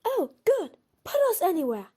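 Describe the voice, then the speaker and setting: A woman acts as a studious teenage witch girl in an audio play. Her voice sits extremely high. She is brisk and a little bossy, like a keen schoolgirl. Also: sing-song, dark tone.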